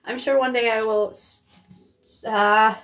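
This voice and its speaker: A young woman from Maine talks through a live stream in a loose, rambling way, peppered with fillers. Her voice sits a little high. She sounds like she is chatting from home into a phone. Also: fairly even intonation, unhurried, a bit bright, rough recording. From Maine, United States. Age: 20s. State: pregnant. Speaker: makeup artist streaming live. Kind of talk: casual live-stream chat.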